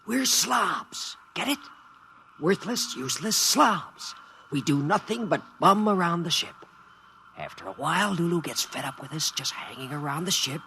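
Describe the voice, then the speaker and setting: A scheming crewman with a fairly high, creaky, sharp voice. He is eager and conspiratorial in 1950s radio style, on a slightly noisy old recording. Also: sing-song, moderate pace, exaggerated voice. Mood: scheming excitement. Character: scheming, excitable crewman hatching an escape plan. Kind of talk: 1950s radio drama acting.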